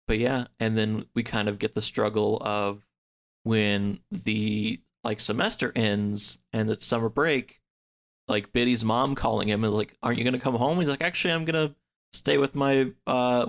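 A man's voice: medium pitch, steady tone, normal speed, creaky, fairly bright tone. A man in a casual chat, retelling a story in a rambling way peppered with "like" and acting out the characters' lines. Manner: acts out characters' lines in quotes. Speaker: podcast guest.

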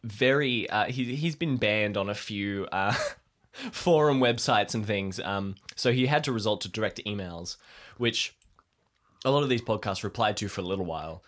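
A man's voice: lowish voice, moderate pace, a bit bright. A man co-hosting a podcast with casual, joking banter. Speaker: podcast co-host and player.

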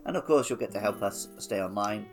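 A man's voice: lowish voice, brisk. A man co-hosting a casual gaming podcast in an informal, conversational way. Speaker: podcast co-host. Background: faint music.

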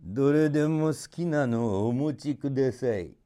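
A man's voice: mid-range voice, lively intonation, normal speed, dark tone, pitched above the performer's own voice, lightly acted. A man voicing a sly old villainous magician in a storybook, theatrical and cunning. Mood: coaxing.

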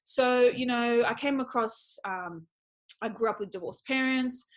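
High-voiced, chatty Australian woman. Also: a little slow, poor audio. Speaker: podcast guest, speaker and former PE teacher. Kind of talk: casual conversational interview.

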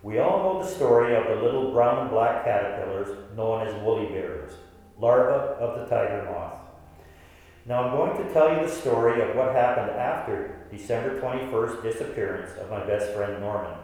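A man's voice: medium pitch, expressive, normal speed, a little mellow, distant mic, close to an everyday voice. Man playing an old storyteller, echoing hall. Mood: solemn storytelling. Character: old man recounting his friend's disappearance to grandchildren. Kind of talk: scripted radio play narration. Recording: echoey hall, off the mic.

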